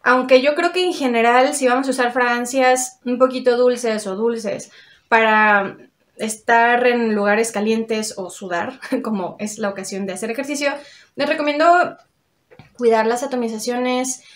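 High-voiced woman reviewing perfumes. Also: a little slow. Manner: chatty, expressive, uses diminutives. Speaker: beauty vlogger. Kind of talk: casual product review. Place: small bedroom with vanity mirrors, indoors.

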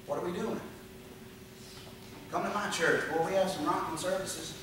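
A man's voice: high-pitched, fairly even intonation, normal speed, noisy background. A middle-aged man preaching a sermon on a church stage into a handheld mic, building emphatic lists of repeated phrases. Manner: emphatic, repetitive preaching with long rhetorical lists. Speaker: pastor. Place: dark church stage with projection screen. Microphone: handheld mic, filmed from a far camera. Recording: echoey church hall from far off.

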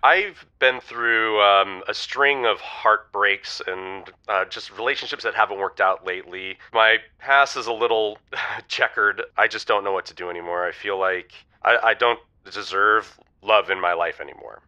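A man's voice: on the low side, moderate pace, pushed and bright, clear, resonant tone. An actor voicing an earnest, remorseful lovelorn man who calls a radio show, hesitant and confessional. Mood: rueful, confessional. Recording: slightly muffled phone call.

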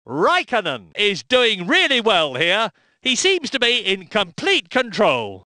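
An older British man commenting on motor racing, excitable and emphatic, speaking into a handheld lip mic for recorded game clips. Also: high voice, no echo, clean studio sound. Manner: excitable, emphatic, rising to bursts of alarm.

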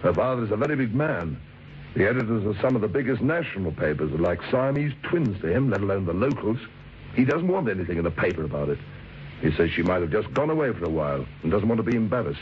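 An actor playing a gruff, frustrated police inspector in an old radio drama. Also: male voice, fairly low pitch, very animated, fast. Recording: dull old radio recording, faint hiss.

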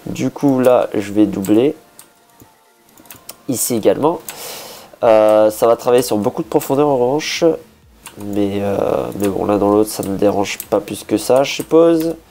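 Hoarse man thinking aloud while building. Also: on the low side, normal speed, mellow. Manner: thinking aloud, many hesitations and restarts. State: hoarse, a bit sick. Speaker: Minecraft builder. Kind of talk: casual building commentary.

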